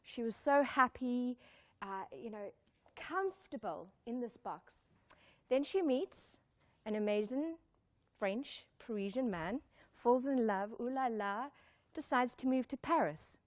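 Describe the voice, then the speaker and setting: Female motivational speaker, higher-pitched voice, emphatic delivery with repeated key phrases and direct questions to a live audience. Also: expressive, a little slow. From born in Zimbabwe, raised in South Africa. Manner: exclamatory, emphatic, repeats key lines, puts questions to listeners. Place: live talk before an audience.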